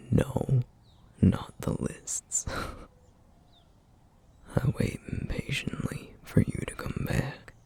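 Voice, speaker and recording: A man playing a tender, teasing single-dad boyfriend in an intimate ASMR roleplay, with a husky, half-whispered, affectionate murmur. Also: drawn-out words, long pauses. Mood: fond, teasing. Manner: soft, hesitant, affectionate murmuring with soft chuckles. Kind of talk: ASMR roleplay, intimate one-sided dialogue to listener.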